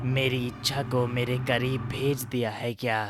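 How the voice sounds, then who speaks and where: A man's voice: medium pitch, level tone, unhurried, background noise, natural voice. A man playing a menacing, grandiose sorcerer hungry for power in a children's cartoon story. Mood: gloating, commanding. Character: scheming, power-hungry male sorcerer of the cave. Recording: music behind the voice.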